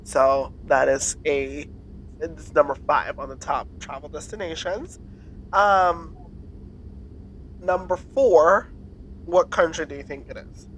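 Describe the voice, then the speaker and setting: A woman's voice: sits low, slow pace, long pauses, slight background noise, rough recording. A woman co-hosting a podcast in casual chat, playfully quizzing and teasing her co-host and laughing often.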